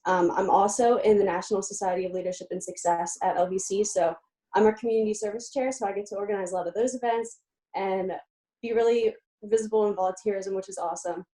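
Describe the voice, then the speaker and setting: Young woman, from pennsylvania, mellow warm tone, eager chatty interview guest, frequent ums. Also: mid-range voice, fairly even intonation, fast talker, low-quality recording. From Lebanon, Pennsylvania, USA. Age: young adult. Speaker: guest, college student-athlete. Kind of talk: casual interview.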